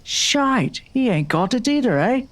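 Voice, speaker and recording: A woman's voice: on the high side, sing-song, measured pace. A woman voicing a brash, foul-mouthed male outlaw sidekick, blunt and slangy, in a western audiobook. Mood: urgent, curious. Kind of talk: western audiobook character acting.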